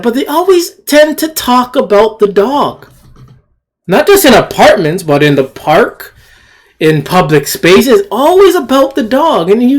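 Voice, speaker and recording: A person giving an indignant, opinionated commentary, emphatically repeating phrases and asking rhetorical questions. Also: medium pitch. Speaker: video commentator. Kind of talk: opinionated rant commentary on shared screen clips.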